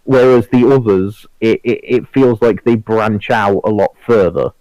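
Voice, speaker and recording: A man's voice: on the low side, unhurried, dark tone, dry recording, muffled recording. A man talking casually and enthusiastically in a group discussion on a podcast, often repeating phrases as he builds his point. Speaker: podcast panelist.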